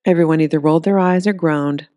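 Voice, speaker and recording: A woman reading a story aloud in an expressive, scripted narration style. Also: low-pitched, quick pace, no room sound, studio quality.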